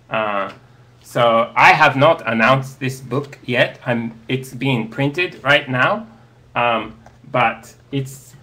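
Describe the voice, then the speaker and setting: A man in his thirties whose first language is Japanese, answering audience questions on a video call in a slow, hesitant way with many fillers. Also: medium pitch, bright, forceful tone. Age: 30s. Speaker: interview guest. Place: home study, indoors. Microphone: earbud headset on a video call.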